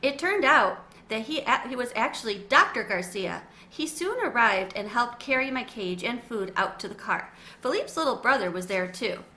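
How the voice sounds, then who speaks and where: A woman reading a children's chapter book aloud with expressive, animated storytelling. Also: a little high, normal speed. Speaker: storybook reader. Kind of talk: children's read-aloud narration.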